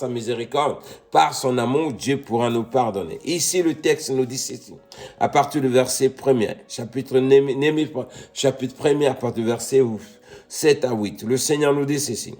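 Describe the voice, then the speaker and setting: A man's voice: medium pitch, darkish tone. Congolese-born man preaching a fervent sermon. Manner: repeats "mes bien-aimés" and "Amen", rising to emphatic peaks.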